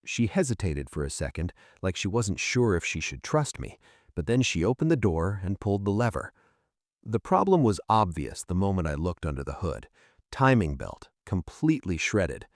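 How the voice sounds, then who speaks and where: Deep-voiced man narrating, widely varied intonation. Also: very animated, moderate pace, no room sound, studio quality. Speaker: storyteller. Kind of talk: scripted first-person story narration.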